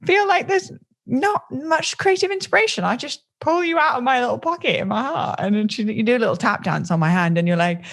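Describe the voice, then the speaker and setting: A woman's voice: sits high, animated, a little fast. A woman hosting a podcast over a video call, talking in a gushing, dreamy way. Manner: gushing, dreamy, with playful put-on voices. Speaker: podcast host.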